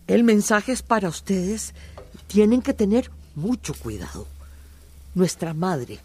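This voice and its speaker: A woman playing an anxious, superstitious older village mother in a radio drama, with a slightly husky voice. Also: medium pitch, sing-song, takes it slowly, darkish tone, exaggerated voice. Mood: grave warning.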